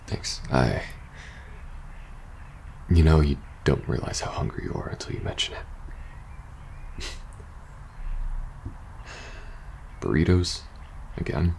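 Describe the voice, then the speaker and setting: A man playing a weary, uneasy soldier confiding in his best friend in a post-apocalyptic ASMR audio roleplay, speaking haltingly and casually. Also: very deep voice, gravelly, a little mellow, slight background noise, exaggerated voice. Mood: casual, sheepish. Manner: hesitant, halting, casual swearing, sighing.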